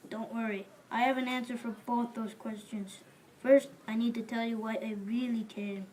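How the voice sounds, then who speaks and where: Woman as a high-voiced, courteous biblical merchant. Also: steady tone, normal speed, dark tone, a little hiss or hum, natural voice, poor audio. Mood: earnest, informative. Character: helpful, courteous male merchant in biblical times. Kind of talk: amateur audio drama acting.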